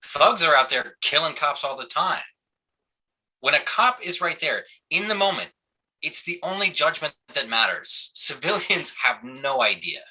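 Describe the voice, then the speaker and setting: Man acting a blunt, sharp-voiced cop. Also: fairly high pitch, big pitch swings, a little slow, husky, heavily acted, poor audio. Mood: defensive. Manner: blunt, profane, evasive. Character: defensive, foul-mouthed male cop and army veteran. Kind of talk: live staged play reading.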